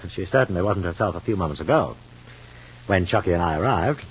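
A man's voice: low voice, animated, fairly quick, a little hiss or hum. A man playing a stiff, superior husband and father in a 1950s radio soap opera, speaking formally and pompously. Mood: cool, measured. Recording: muffled old radio recording.

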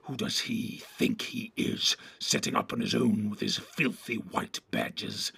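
Storybook orc villain: soft but evil, gravelly and breathy, sly sneering menace. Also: male voice, lowish voice, a little slow, no room sound, pitched below the performer's own voice, heavily acted. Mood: sneering, scornful. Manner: sneering, insinuating. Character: sinister, sneering male orc messenger, short and broad. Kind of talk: audiobook character voice.

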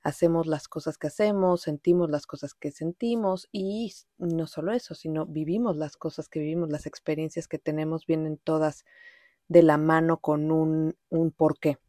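A woman's voice: deep voice, fairly even intonation, normal speed, dark tone, no echo, studio quality. A woman with a Mexican accent hosting a podcast, telling personal reflections in an informal, rambling way with occasional English words. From Mexico City, Mexico. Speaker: podcast host. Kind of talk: casual personal monologue, reflective storytelling.

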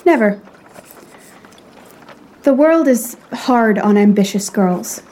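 Woman playing a proud, high-voiced young lady artist. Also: lively intonation, slow pace, a little mellow. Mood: resigned, determined. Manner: crisp, formal old-fashioned phrasing, reproachful. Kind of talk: period audio drama acting.

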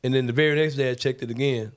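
A man's voice: medium pitch, steady tone, racing. Joking man in his forties. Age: 40s. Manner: laid-back, joking, slangy. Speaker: podcast host. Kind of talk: casual sports talk show.

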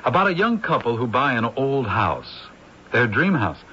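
A man's voice: medium pitch, sing-song, normal speed, sharp tone, slightly rough, exaggerated voice. An actor playing an affable male movie crew member who explains things in a radio drama. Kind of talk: 1970s radio drama acting. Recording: dull old radio recording, faint hiss.

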